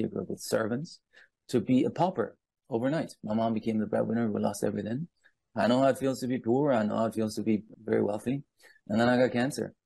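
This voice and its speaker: A man in his fifties from Iran speaking as a guest, telling personal stories and explaining in a deliberate, emphatic manner with rhetorical asides. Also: lowish voice, creaky, warm tone, husky, no echo. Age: 50s. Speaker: guest speaker on palm leaf reading.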